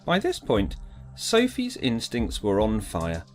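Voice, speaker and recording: A man's voice: on the low side, wildly swooping pitch, slow, faint music behind. A man giving a scripted, dramatic narration of an audiobook story.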